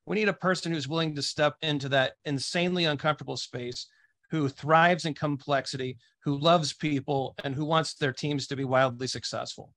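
A man's voice: on the high side, fairly even intonation, moderate pace, a bit bright, dry recording. A man speaking on a video call as a panelist, making his points emphatically with strong, vivid phrasing. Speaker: panelist, agile coach. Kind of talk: casual panel discussion.

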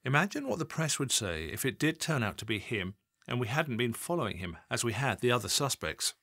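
A man's voice: mid-range voice, sing-song, a little fast, bright, forceful tone, dry recording, clean studio sound, heavily acted. A man voicing a weary male detective who answers in a restrained, deferential way. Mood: guarded.

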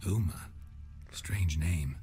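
An actor playing a stoic, terse male monster hunter in a video game, with a gravelly, deadpan voice. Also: low-pitched, very slow, faint music behind. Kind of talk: video game dramatic dialogue.

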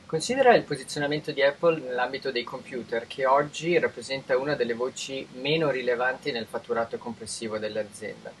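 A man from Italy answering interview questions as a tech expert, explaining in a measured, informative way with frequent restarts. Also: mid-range voice, a little hiss or hum, rough recording.

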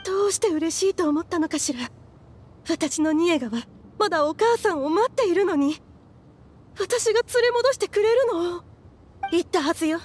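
A woman's voice: very high voice, expressive, moderate pace, pauses often, a little mellow. A woman playing a grieving, obsessive mother in a Japanese anime game, speaking with fervent emotion. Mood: sorrowful, confiding. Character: grieving, obsessive mother desperate to revive her daughter.

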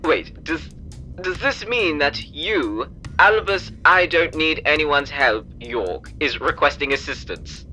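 Male actor as excitable teasing companion, fantasy audio drama, exaggerated, fairly high, sharp forceful tone. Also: slow, faint music behind, heavily acted. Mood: mocking, amused. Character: mocking, excitable, playful male adventuring companion. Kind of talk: comedic fantasy audio roleplay.

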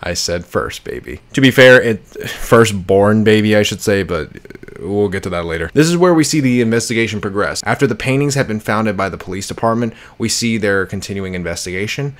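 A man's voice: fairly low pitch, lively intonation, brisk. A young adult man giving casual video commentary to camera, speaking bluntly with heavy swearing into a close desk microphone indoors. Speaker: video reviewer of horror series. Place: bedroom, indoors. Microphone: close desk microphone, with headphones.

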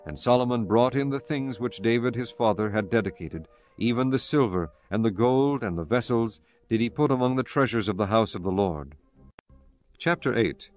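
A man narrates scripture in a fairly low voice. His delivery is formal and measured, with faint music underneath. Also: darkish tone.

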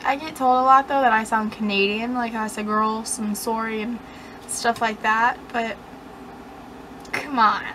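Casting: young woman, slightly high voice, sarcastic self-deprecating vlogger, webcam in a dim bedroom, faint hiss. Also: steady tone, a little slow. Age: young adult. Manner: rambling, sarcastic and self-mocking. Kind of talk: casual chat to camera.

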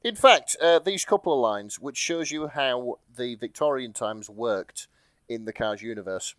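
A man hosts a comic podcast in a casual, wry way. His voice sits at an ordinary mid pitch, recorded dry with hardly any room around it. He explains things patiently and slips into reading aloud. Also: expressive, measured pace, a bit bright, clear, resonant tone, no echo. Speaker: podcast host reading and recapping fan fiction.

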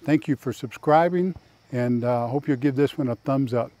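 An older man with a mellow, warm voice at a middle pitch hosts a casual video tour. He speaks in a folksy, plain-spoken way as he guides viewers on foot outdoors, recorded on a lapel mic. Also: moderate pace. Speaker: vlog host and tour guide. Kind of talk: casual walking tour narration to camera. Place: outdoors in a park.

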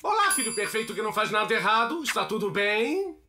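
A man with a Brazilian accent playing a middle-aged, fussy, theatrical suburban father in an animated cartoon dub. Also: high voice, a little fast. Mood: cheerful, indulgent. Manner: emphatic, theatrical. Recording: loud music behind the voice.

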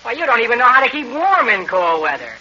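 Very high-voiced, eager American boy. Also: big pitch swings, quick pace, bright, forceful tone, heavily acted. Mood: earnest persuading. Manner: exclamatory, slangy. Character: eager, boisterous American boy, hockey-mad pal. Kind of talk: 1940s radio acting. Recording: muffled noisy 1940s radio broadcast.

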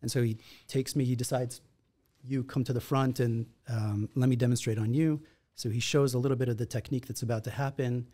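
A man in his forties from Israel, a podcast guest, telling his story in a reflective, measured way at a studio mic. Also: mid-range voice, fairly even intonation, warm tone. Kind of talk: conversational storytelling. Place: podcast studio in a martial arts gym. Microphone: boom-arm studio mic with headphones.

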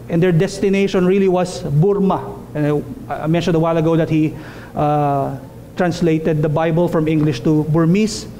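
Male preacher, thirties, high mellow voice, earnest, repeats lines for stress, headset mic in a small church hall with faint room hum. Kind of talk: sermon.

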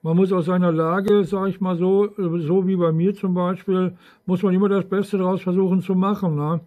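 A man's voice: high voice, little pitch movement, normal speed, lo-fi sound. A man chatting casually as an amateur radio operator, rambling along and often tacking questions onto his sentences. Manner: rambling, frequent tag questions. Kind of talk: casual ham radio chat.

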